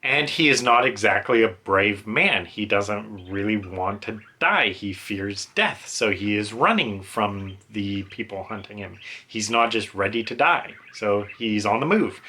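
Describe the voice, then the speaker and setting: A man's voice: lowish voice, pushed and bright. A man giving an informal, rambling, self-aware book review as a reviewer talking casually on video.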